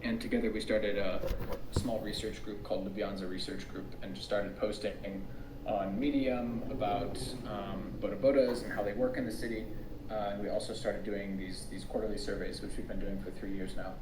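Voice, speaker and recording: An American man who grew up in Tanzania, a researcher guest giving relaxed interview answers with frequent ums and restarts. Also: on the low side, moderate pace, background noise. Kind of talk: casual conversational interview answers. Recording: distant, echoey remote recording.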